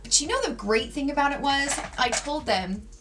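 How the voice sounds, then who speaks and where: A woman in her forties talking through a makeup tutorial at home, with gossipy, animated storytelling and lots of "like"s. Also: high voice, normal speed, background noise. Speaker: beauty vlogger. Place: home, sitting at a makeup table.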